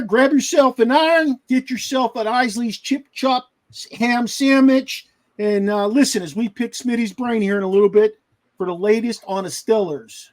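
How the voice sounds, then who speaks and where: A man with a Pittsburgh accent hosting a casual sports podcast, loud and joking, swearing freely. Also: sits high. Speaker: podcast host.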